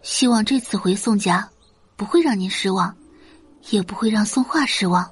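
A woman voicing a calm, sweet young heroine in an audio drama, speaking with earnest, respectful politeness. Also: medium pitch, normal speed, husky, no room sound. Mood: earnest, resolute.

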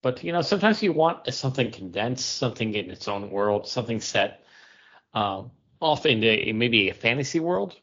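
A man's voice: mid-range voice, very animated, moderate pace, a bit bright. A man co-hosting a podcast, giving his opinions in casual conversation. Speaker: podcast co-host. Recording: dull lo-fi podcast audio.

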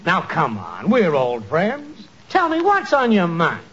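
A man's voice: high-pitched, wildly swooping pitch, slow pace, a bit bright, slightly rough, full character voice. Chummy radio-comedy con man, theatrical and ingratiating, wildly swooping delivery, muffled old broadcast. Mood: gushing, falsely chummy. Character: smooth-talking male swindler, falsely chummy and wheedling. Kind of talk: 1940s radio comedy acting. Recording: muffled, hissy 1940s radio recording.

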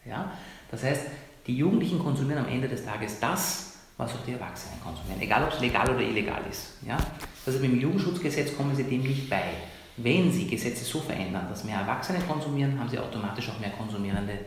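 A man from Iran who is a doctor, arguing emphatically as an interview guest, often ending points with a quick tag question. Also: medium pitch, lively intonation, a little hiss or hum. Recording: very echoey room.

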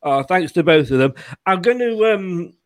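A man's voice: high voice, animated, moderate pace, no echo. Chatty man hosting, dry sound. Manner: chatty, laughs easily, many restarts. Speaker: host. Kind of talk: casual chat.

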